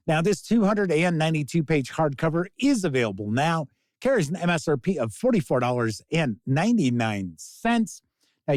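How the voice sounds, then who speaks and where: A man presenting a casual tabletop game review as host, speaking in deliberate, emphatic phrases. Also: a little high, a little mellow, no room sound. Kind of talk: casual scripted-outline product review to camera.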